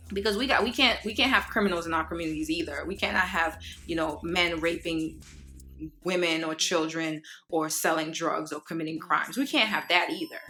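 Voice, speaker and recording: Haitian-born woman preaching to her viewers, bright tone, lots of ums, faint music behind. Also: medium pitch. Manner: earnest, preachy, many fillers and restarts. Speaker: vlogger. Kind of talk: casual monologue to camera.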